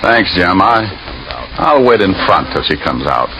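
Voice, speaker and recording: Low-voiced wisecracking radio private eye. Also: male voice, big pitch swings, a little slow, over loud music. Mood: wry. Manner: flippant, smooth wisecracks. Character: wisecracking, flirtatious male private detective, easygoing tough guy. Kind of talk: 1940s radio detective drama acting. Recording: muffled, noisy old-time radio recording.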